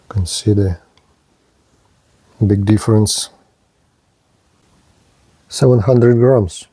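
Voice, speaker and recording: A man presents a gadget at a very slow pace, in short halting phrases. His voice is mellow and relaxed at a middle pitch, recorded close and dry. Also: dry recording. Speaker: gadget reviewer. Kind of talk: unboxing and product review narration.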